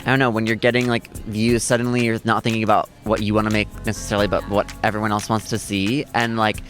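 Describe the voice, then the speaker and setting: A young man vlogging in a soft, chatty, reflective way, talking outdoors in a city, says "like" a lot. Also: fairly low pitch, flat delivery, quick pace, faint music behind. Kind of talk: casual chat and vlog talk to camera.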